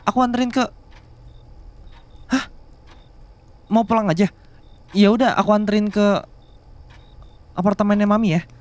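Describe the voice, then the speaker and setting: A man playing a devoted, bashful younger boyfriend in love with his boss, speaking softly and haltingly in an intimate ASMR romance roleplay. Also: sits high, dry recording, lightly acted. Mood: worried, fussing. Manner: soft, halting, tender, with murmured hums.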